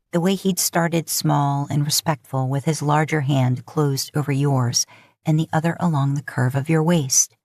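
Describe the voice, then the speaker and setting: A woman's voice: sits low, darkish tone, dry recording, clean studio sound. A woman reading scripted second-person romantic fiction as a podfic narrator.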